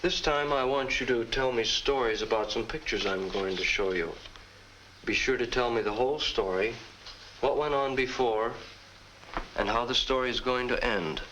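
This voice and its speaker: A man playing a patient, encouraging clinic psychologist in a 1950 educational film, gently prompting. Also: mid-range voice, normal speed, close to an everyday voice. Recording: noisy vintage film soundtrack.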